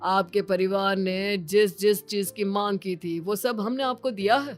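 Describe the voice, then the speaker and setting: A woman's voice: mid-range voice, steady tone, unhurried, soft background music, natural voice. Woman playing a placating middle-aged father-in-law. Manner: polite, placating.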